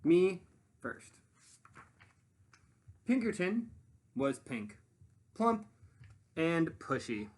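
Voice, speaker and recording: A man narrating a children's story expressively as a read-aloud teacher, pausing to muse and put questions to listeners. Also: a little high. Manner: animated, pausing to muse and prompt.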